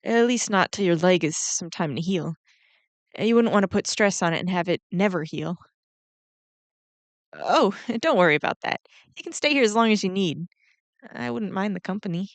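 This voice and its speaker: A woman voicing a kindly, flustered giant ant queen in a roleplay audio drama, speaking gently and hesitantly to the listener. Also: medium pitch, expressive, normal speed, long pauses, dry recording, close to an everyday voice. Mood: apologetic, welcoming. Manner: gentle, hesitant, rambling with small nervous laughs.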